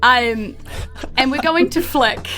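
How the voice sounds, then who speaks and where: A woman with a New Zealand accent and a creaky voice, narrating a tabletop roleplaying game as game master. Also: high voice, takes it slowly, sharp tone. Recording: music under the voice.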